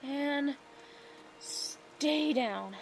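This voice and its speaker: Cocky, high-voiced female vigilante played by an actress. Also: drawn-out words, a little hiss or hum, heavily acted. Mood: taunting. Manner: taunting, wry. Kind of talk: dramatic audio drama acting. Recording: loud music behind.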